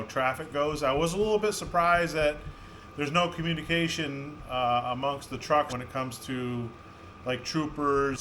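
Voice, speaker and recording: A man hosting a vlog, talking to viewers in a plain-spoken, conversational way. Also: fairly high pitch. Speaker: vlog host. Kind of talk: casual vlog talk to viewers. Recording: steady background noise.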